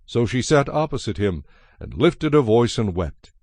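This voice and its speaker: A man giving a measured, solemn narration of scripture as the narrator of a dramatized production. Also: mid-range voice, big pitch swings, dry recording, studio quality. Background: soft music.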